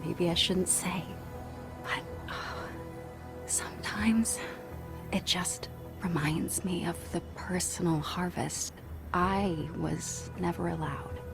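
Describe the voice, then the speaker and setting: An actress playing a weary, dutiful Spartan priestess in a video game, speaking in a measured, reflective way. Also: female voice, on the low side, gravelly. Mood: wistful, resigned. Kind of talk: cinematic video game acting. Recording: music behind the voice.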